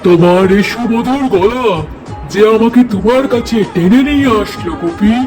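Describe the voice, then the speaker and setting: A man's voice: extremely high pitch, normal speed. A man playing a doting, flirtatious husband in an amateur doll drama, gushing theatrically. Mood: enraptured. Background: music.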